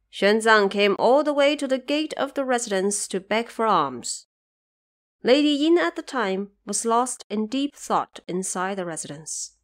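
A woman narrating an audio drama in a measured, even storytelling voice. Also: medium pitch.

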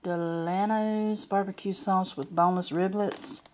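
A woman's voice: mid-range voice, steady tone, unhurried, darkish tone. A woman talking casually and plainly as she narrates a grocery haul on a handheld camera at home. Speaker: home vlogger. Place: indoors at a kitchen or dining table. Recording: noisy home recording, crinkling packages. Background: crinkling packaging, clicks, background noise.

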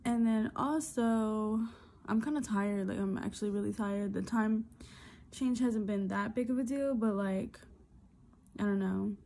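A woman's voice: mid-range voice, a little slow, gravelly, mellow. A young woman talking casually into her phone camera on a road trip, loose and candid with lots of fillers. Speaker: vlogger. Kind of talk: casual travel vlog. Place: hotel room, then car and outdoors.

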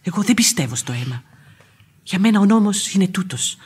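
An actress playing an outspoken, superstitious housekeeper who complains bluntly in a radio play. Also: female voice, mid-range voice, animated, a little mellow, husky. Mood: musing, earthy. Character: outspoken, grumbling, superstitious female housekeeper and nanny. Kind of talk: radio theatre acting. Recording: radio drama with faint music behind.

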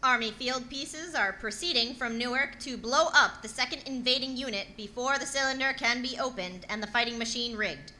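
A woman's voice: a little high, normal speed, hardly any pauses, bright, forceful tone. A woman playing an urgent radio news announcer, formally reading war bulletins in 1930s radio drama style. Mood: grave, urgent. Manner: formal, declamatory.

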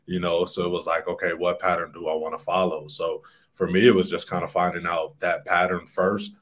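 Deep-voiced low-key man guest. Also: a little fast. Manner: relaxed, reflective, low-key. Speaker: guest business coach. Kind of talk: casual interview answers. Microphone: webcam video call.

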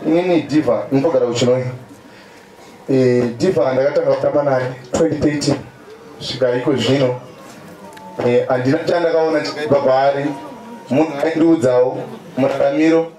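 A man's voice: on the high side, mellow, distant mic. A young man with a Zimbabwean accent giving a funeral tribute to a gathering through a PA. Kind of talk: eulogy to a large gathering. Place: open-sided stadium stand outdoors. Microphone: handheld microphone over PA. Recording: echoey outdoor PA.

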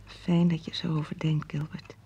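A woman playing a gentle, probing wife in a Dutch radio play, soft and tentative. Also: sits low, flat delivery, moderate pace, in a whisper, lo-fi sound. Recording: noisy old radio play recording.

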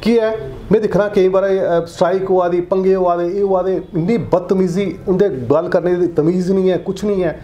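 Middle-aged man, Dogri accent, animated and gesturing, slightly high voice, faint room hum. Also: normal speed. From Jammu. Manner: animated, gestures a lot while talking. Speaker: cartoonist guest. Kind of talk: casual interview chat. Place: indoor studio set.

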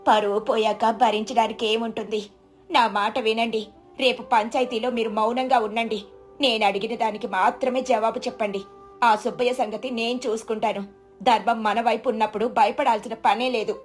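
A woman voicing a clever village farmer's wife in a moral story, earnest and expressive, worried yet brave. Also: fairly high pitch, moderate pace, faint music behind, natural voice. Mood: firm, reassuring. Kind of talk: dramatized Telugu moral story.

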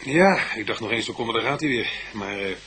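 Lively police detective, noisy radio. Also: male voice, on the low side, a little fast. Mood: businesslike. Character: alert, excitable male police detective. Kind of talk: radio play dialogue. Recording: noisy old radio play recording.

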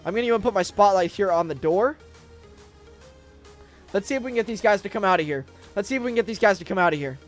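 A young American man doing casual, excitable roleplay gameplay commentary, chatting straight to his viewers at a close mic. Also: high voice, quick pace, pauses often, faint music behind. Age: young adult. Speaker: gaming video host. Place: indoor room gaming setup with webcam.

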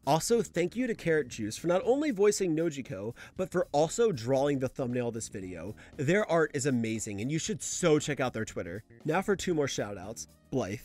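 A fairly high-voiced man hosts a casual video essay over light background music. He speaks conversationally and gives his opinions in informal language, following a script. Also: big pitch swings, moderate pace, hardly any pauses, darkish tone. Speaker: host of a manga analysis channel.

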